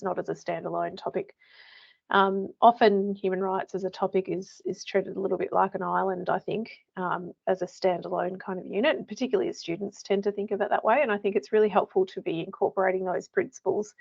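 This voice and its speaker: Croaky Australian woman presenting a webinar. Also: mid-range voice. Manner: chatty, many fillers and restarts. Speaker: education manager presenting a webinar. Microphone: computer mic on a video call. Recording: dull lo-fi webinar audio.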